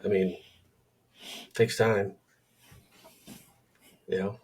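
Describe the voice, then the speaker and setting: A man's voice: fairly low pitch, little pitch movement, extremely slow, a little mellow, slightly rough. A man in his forties co-hosting a podcast, talking in an easygoing, laid-back way about his skydiving days. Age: 40s. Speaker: podcast co-host, skydiving instructor. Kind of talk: casual chat. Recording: voice from far off the mic.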